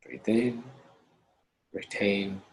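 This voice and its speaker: A man leading a guided meditation as instructor, speaking calmly in short measured phrases with long pauses. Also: fairly low pitch, a little hiss or hum, poor audio.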